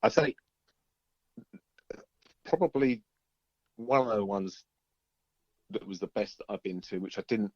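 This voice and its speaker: Middle-aged male musician, interview guest. Low voice, hesitant rambling chat, muffled close dry recording. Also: measured pace, pauses often, dry recording. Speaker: interviewed musician, band member. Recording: dull, lo-fi band-limited line.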